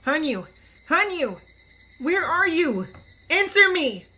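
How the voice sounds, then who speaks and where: A woman's voice: sits high, lively intonation, normal speed, a little hiss or hum, poor audio. A woman voicing a frantic young shrine girl. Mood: frantic, pleading. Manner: loud, urgent calling. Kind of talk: story reading with voiced characters.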